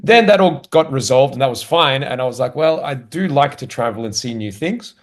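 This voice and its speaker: A man's voice: mid-range voice, expressive, fairly quick. Easygoing Australian man, laughing a lot. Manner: easygoing, laughs often, self-deprecating. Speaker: guest, comedian. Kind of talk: casual conversational interview.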